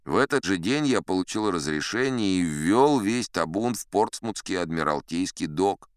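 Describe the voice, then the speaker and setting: A man's voice: low-pitched, expressive, normal speed, clear, resonant tone, no room sound. A boastful old sea captain recounts tall tales for an audiobook. His delivery swings expressively, with a self-satisfied, conversational air. The voice is resonant and recorded very dry and close. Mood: relaxed, confiding. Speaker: audiobook narrator in character. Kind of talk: theatrical first-person storybook narration.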